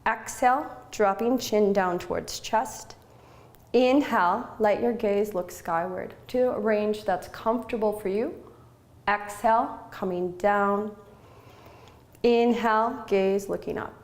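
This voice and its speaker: Slow-paced woman guiding relaxation. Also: mid-range voice. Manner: soothing, unhurried, short measured phrases with pauses. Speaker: yoga nidra instructor. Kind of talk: guided relaxation and gentle yoga instruction.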